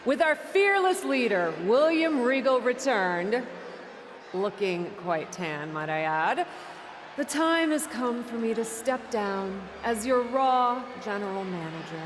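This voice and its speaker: Cocky, high-voiced wrestler in echoing arena. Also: female voice, big pitch swings, takes it slowly. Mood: wry, showy. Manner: showy, sarcastic. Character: cocky, self-important female wrestler and departing manager. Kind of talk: scripted wrestling promo. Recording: long arena reverb, clear background noise.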